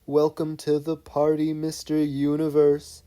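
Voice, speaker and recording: Narrator as a slow-singing older great-uncle. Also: medium pitch, monotone, warm tone, voice pushed up, close to an everyday voice. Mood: solemn, lilting. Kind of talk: slow half-sung delivery.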